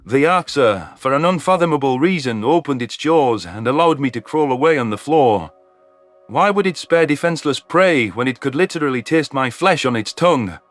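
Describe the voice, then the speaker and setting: A man's voice: mid-range voice, lively intonation, moderate pace, dry recording, soft background music, natural voice. A man voicing a bitter, hateful alien veteran in a dramatic, vehement telling of a sci-fi story. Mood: bitter, tense.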